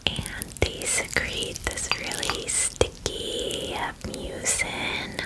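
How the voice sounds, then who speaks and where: A friendly female dragon acted out by a woman pampers a guest in an ASMR roleplay. She speaks in a soft, breathy whisper, very slowly and gently. Her manner is caring and casually chatty, with small hesitations. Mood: soothing, friendly.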